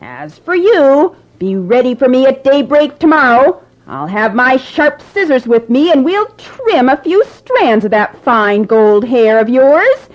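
A wicked old sorceress, put on by a woman narrator, high-pitched and swooping all over the place. She speaks in a threatening, old-fashioned manner, like a storybook villain. The recording sounds slightly muffled. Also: sing-song, takes it slowly, a bit bright, full character voice. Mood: menacing. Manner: threatening, archaic speech. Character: wicked old female sorceress, jealous and menacing.

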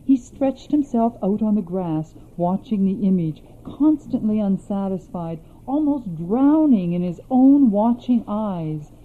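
A woman plays a young princess spinning old myths for her aunt in a radio drama. She narrates calmly and evenly at a middle pitch. The sound is thin and tinny, like over a phone line. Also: slight background noise, lightly acted. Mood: storytelling. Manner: calm, measured narration. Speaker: storyteller. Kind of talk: scripted storytelling in a radio drama.

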